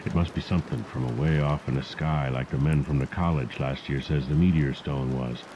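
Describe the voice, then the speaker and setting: A man voicing a frightened old farmer in a horror audiobook, speaking a rustic country dialect in hushed, grave tones. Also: extremely low pitch, steady tone, a little fast, pitched below the performer's own voice. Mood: hushed, fearful. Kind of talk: horror audiobook character dialogue. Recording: muffled degraded cassette tape with rain noise. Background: rain and storm sounds.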